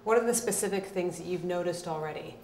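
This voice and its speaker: A woman from the Bahamas, a journalist interviewing a guest at a conference pavilion, asking questions in a brisk, conversational way. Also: fairly low pitch, darkish tone, echoey room.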